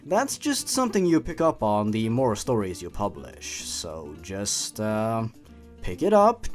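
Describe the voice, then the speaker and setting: A man hosting a scripted review show, commenting in a theatrical, sardonic way. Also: a little high. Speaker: host of a story-reading review channel. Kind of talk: scripted commentary with dramatic reading.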